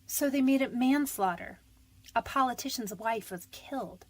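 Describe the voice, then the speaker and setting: A woman's voice: fairly high pitch, moderate pace, natural voice. An actress playing a frightened but defiant young convicted woman in a radio detective drama, defensive, pleading and slangy.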